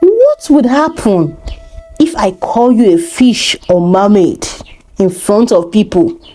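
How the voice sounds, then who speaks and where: Woman voicing a vain, animated fisherman husband. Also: medium pitch, takes it slowly, rough recording. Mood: sly.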